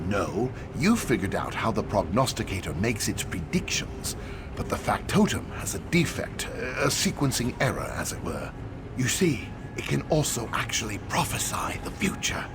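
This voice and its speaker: Man as an animated, confiding fantasy townsman. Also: fairly low pitch, moderate pace, noisy background. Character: earnest male fantasy townsman confiding a secret. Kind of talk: AI-voiced fantasy game dialogue.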